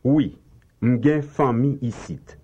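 A man reading slow, carefully enunciated translations in a language drill. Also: medium pitch, mellow, breathy edge, highs cut off. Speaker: language teacher.

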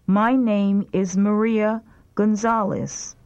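A woman reads short lesson sentences at a slow, deliberate pace for learners to follow. Her pitch sits in an ordinary middle range. The sound is dull and lo-fi, like an old tape. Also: steady tone, a little mellow. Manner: slow, clear prompt sentences. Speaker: language lesson reader. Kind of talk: slow repeat-after-me language drill.

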